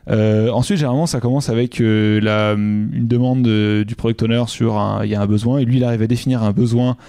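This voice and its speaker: Young man from Normandy, interview guest, gravelly and mellow, halting delivery. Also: fairly low pitch, fairly even intonation, no room sound, studio quality. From Normandy, France. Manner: hesitant, many fillers and restarts. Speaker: UX designer guest. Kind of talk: casual interview answers.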